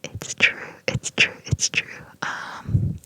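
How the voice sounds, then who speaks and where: A young adult with an Australian accent whispering softly throughout an ASMR video, repeating words many times. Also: takes it slowly, dry recording. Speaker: ASMR creator.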